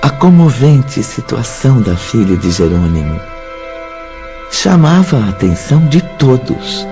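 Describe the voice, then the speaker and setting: A man's voice: medium pitch, big pitch swings, a little slow. A man narrating a scripted radio drama in a formal storytelling manner. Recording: dull audio with background music.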